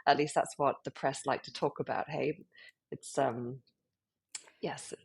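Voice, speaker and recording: A woman's voice: rumbling low, steady tone, unhurried, slightly rough. A woman with a British accent, answering questions conversationally as an interview guest. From United Kingdom. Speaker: club founder, interview guest.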